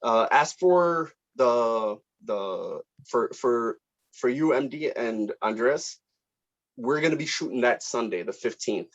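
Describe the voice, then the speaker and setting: A man's voice: medium pitch, lively intonation, slow pace. Man in casual meeting, dull lo-fi call audio. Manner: hesitant, informal, appreciative. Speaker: student film director running a table read meeting.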